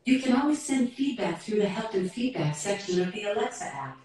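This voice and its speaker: A synthetic female assistant voice with even, polite, canned phrasing. It plays from a small speaker across the room, so it sounds far off and very echoey, with music faint behind it. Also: mid-range voice, a little fast.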